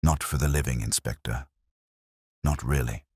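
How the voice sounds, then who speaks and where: A man voicing a sad, reserved wife, soft and resigned, in a detective audio drama. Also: rumbling low, steady tone, moderate pace, breathy edge, no room sound, clean studio sound.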